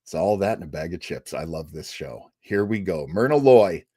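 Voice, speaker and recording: A man's voice: low-pitched, animated, normal speed, warm tone, breathy edge, no echo, studio quality. A man hosting a live show, chatty and rambling as he reads out viewer comments. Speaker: show host. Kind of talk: casual livestream chat.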